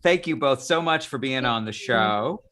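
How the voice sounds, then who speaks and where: A man with a bright, forward voice hosts an informal live chat. He speaks conversationally and emphatically, feeling his way through each thought. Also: medium pitch, lively intonation, normal speed. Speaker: talk show host, theatre director. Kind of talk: casual live online chat.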